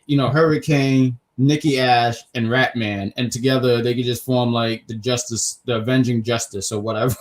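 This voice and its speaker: A man co-hosting a review show, chatting casually with a joking, teasing, rambling manner and repeating his phrases as he gives opinions. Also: mid-range voice. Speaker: co-host of a wrestling review show. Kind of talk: casual chat, opinionated commentary.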